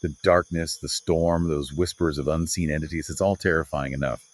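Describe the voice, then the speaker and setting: A man's voice: extremely low pitch, fairly even intonation, normal speed, no room sound. A man co-hosting a podcast, discussing literature conversationally with an emphatic, dramatic delivery. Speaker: podcast co-host.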